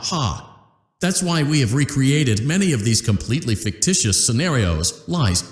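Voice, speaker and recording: A man's voice: mid-range voice, echoey room, close to an everyday voice. A man as a chipper corporate game announcer. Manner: upbeat corporate spiel with deadpan legal asides. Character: cheerful corporate VR announcer, evasive and falsely reassuring.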